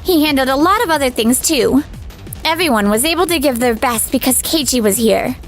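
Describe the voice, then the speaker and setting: A woman's voice: high voice, lively intonation, brisk, music in the background. A woman playing a firm, loyal young office colleague in a manga dub, explaining things plainly. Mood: firm, reproachful. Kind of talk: scripted manga dub acting.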